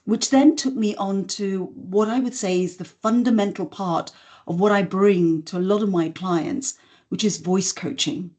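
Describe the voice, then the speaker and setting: Articulate woman from Singapore, measured. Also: mid-range voice, a little mellow. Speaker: guest, voice and communications coach. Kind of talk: conversational podcast interview.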